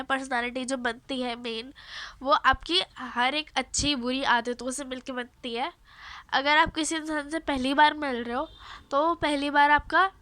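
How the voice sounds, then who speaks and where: A young woman with a cold and a hoarse voice, hosting a casual self-help video and chatting to viewers, often restarting her phrases. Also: high voice, pushed and bright, no echo. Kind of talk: casual advice talk to camera.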